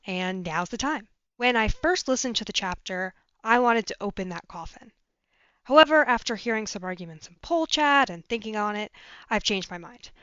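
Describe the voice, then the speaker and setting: A woman reading out a prepared listener message, making her argument in a measured, orderly way. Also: mid-range voice, fairly bright tone, dry recording. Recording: dry, slightly dull voice message.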